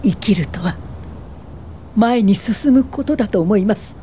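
An actress playing a principled medical social worker in a radio drama, speaking in a measured, formal and steady way. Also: female voice, mid-range voice, slight background noise, lightly acted. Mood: calm conviction. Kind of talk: radio drama narration and acting.

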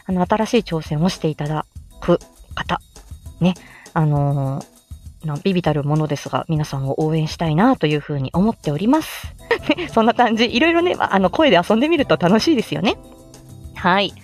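A woman hosting a casual livestream, chatting and giving encouraging tips in the manner of an amateur voice actor. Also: fairly low pitch. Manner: chatty, encouraging, often chuckling.

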